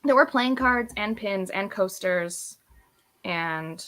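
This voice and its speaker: A woman's voice: mid-range voice, animated, takes it slowly, a bit bright. A woman chatting casually as a co-host, in a rambling, self-deprecating way. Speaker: book reviewer, read-along co-host. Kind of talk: casual chat.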